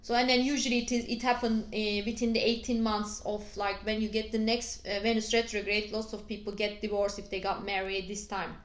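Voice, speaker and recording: A woman with a Turkish accent, a native Turkish speaker, explaining astrology to her channel's viewers, pausing often on fillers and restarting her phrasing. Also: mid-range voice, little pitch movement. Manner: hesitant, frequent fillers and restarts. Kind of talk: casual explanatory video. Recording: echoey room.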